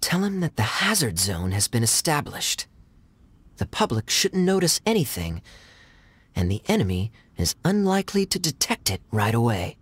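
A man's voice: mid-range voice, sing-song, normal speed. A man voicing a suave, teasing young cavalry captain in a video game, playful and theatrical. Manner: theatrical, playful, smooth-talking. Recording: game music behind.

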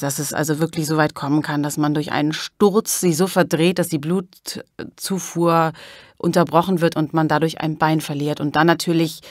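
A woman giving reflective interview answers, thoughtful and halting as she searches for words. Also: low-pitched, no room sound, studio quality. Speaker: interviewed audiobook narrator.

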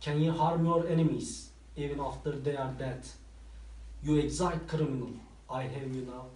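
A man's voice: mid-range voice, steady tone, normal speed, a little mellow, reverberant, far from the mic, natural voice, low-quality recording. A man playing a stern young nobleman. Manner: stern, accusing. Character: proud young nobleman, indignant challenger.

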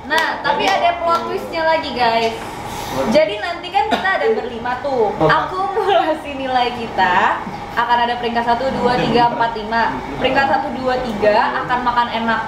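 A woman's voice: sits high, lively intonation, moderate pace. A woman, hosting a casual vlog chat with friends. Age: 20s. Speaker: host. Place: private dining room in a restaurant. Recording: echoey room, loud background noise.

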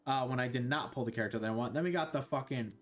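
A man's voice: medium pitch, very fast. A young man streaming a game from his bedroom on a headset, chatting loosely with viewers, joking and swearing. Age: young adult. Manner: loose, joking, swears a lot, talks to chat. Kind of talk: casual gaming stream chat. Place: small bedroom.

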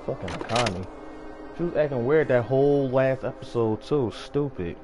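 A man streams a video game in a casual, slangy way, chatting to his viewers and swearing freely. His voice is mid-pitched and a little scratchy. Game music plays clearly behind him. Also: expressive, normal speed. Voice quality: slightly scratchy morning voice. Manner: slangy, profane, talking to viewers. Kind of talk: casual let's play commentary with slangy banter, reading dialogue aloud.

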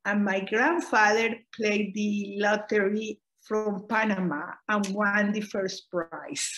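A woman's voice: medium pitch, fairly even intonation, fairly bright tone, poor audio. Middle-aged Costa Rican woman recalling family history. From Costa Rica. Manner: unhurried, frequent "eh" hesitations and self-corrections. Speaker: oral history interviewee. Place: home room, indoors. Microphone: video call webcam.

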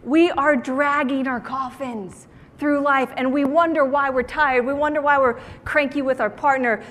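A woman giving a motivational keynote talk to an audience on stage, with an animated, theatrical delivery and playful emphasis. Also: high-pitched, normal speed. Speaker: keynote speaker. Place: large indoor conference room, on stage. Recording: echoey conference hall sound.

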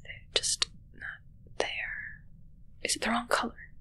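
A young woman playing a self-assured, darkly matter-of-fact witch in a hushed, musing ASMR roleplay, close to the mic. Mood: absorbed, musing. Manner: slow, musing, hushed, close personal attention. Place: small dark curtained indoor set.

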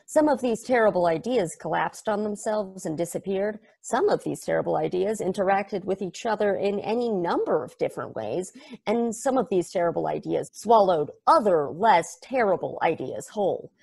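Woman as an earnest superfan explaining a game, a bit of fry in the voice, a stumbling, devoted lecture. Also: medium pitch, mellow. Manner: earnest, rambling, self-correcting. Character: eager, nerdy fan explaining a strange game. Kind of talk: table read of a stage play. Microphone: video call.

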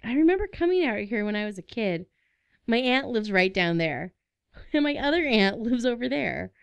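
A woman's voice: fairly high pitch, very animated, normal speed, creaky, clean tone, dry recording. A woman playing a friendly, curious, easygoing small-town young woman in a radio play. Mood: cheerful, curious.

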